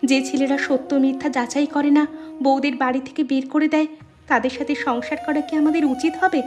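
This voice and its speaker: Lady doing young cartoon wife, highish voice, firm and measured, music behind her. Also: steady tone, lightly acted. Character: wronged young daughter-in-law, firm but forgiving. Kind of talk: Bengali cartoon voice acting.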